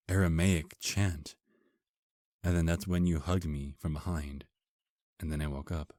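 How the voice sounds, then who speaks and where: A man voicing a doting, cocky, centuries-old vampire husband in an intimate ASMR romance roleplay, speaking in halting, drowsy pillow talk. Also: a bass voice, a little mellow, dry recording, clean studio sound. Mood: dreamy, tender.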